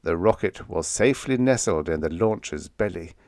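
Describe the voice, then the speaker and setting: Male narrator, low pitch, very varied intonation, dry close sound, science fiction storytelling. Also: animated, normal speed, darkish tone, no echo. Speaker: audiobook narrator.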